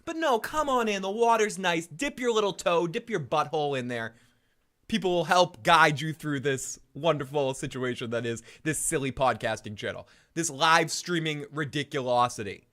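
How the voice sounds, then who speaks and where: A middle-aged man with a Boston accent hosts a live podcast stream, talking in a rambling, profane way. His fairly high voice swings widely in pitch, jumping into sudden excited yelps. He sounds a little under the weather. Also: moderate pace. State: sick. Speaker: podcast host. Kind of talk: casual live-stream chat.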